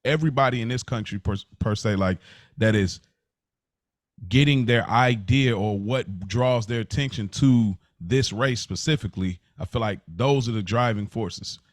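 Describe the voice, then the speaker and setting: An American man with a somewhat low voice chats as a podcast co-host. His talk is casual and informal, with everyday slang. The recording is very dry, free of echo. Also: normal speed. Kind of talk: casual conversation.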